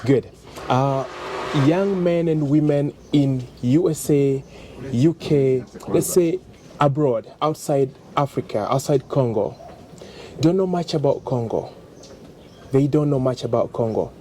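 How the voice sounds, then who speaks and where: A man's voice: mid-range voice, a little slow, warm tone. A man interviewing guests in a casual talk, encouraging them with short affirmations. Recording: faint background noise.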